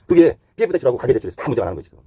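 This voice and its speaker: A man delivers off-the-cuff commentary at a very rapid clip. His voice is rough and husky, and his pitch moves around in a lively way as he explains things point by point, switching between polite and blunt phrasing. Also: dry recording. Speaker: host giving economic commentary. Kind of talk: unscripted explanatory commentary on economy and finance.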